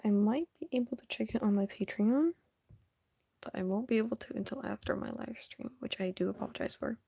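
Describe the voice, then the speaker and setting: Hoarse, whispery young woman streaming. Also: medium pitch, brisk, no echo. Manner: soft, often half-whispered, hesitant and rambling. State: sniffly, voice going hoarse. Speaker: live-streaming artist. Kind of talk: casual live stream chat while drawing.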